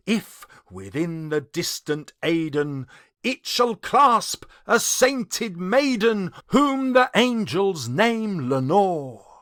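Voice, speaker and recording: A man's voice: high voice, fairly bright tone, dry recording, studio quality, exaggerated voice. A man voicing a tormented, grieving man with impassioned, declamatory pleading in a gothic poem recitation. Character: tormented grieving male narrator, desperate and melodramatic.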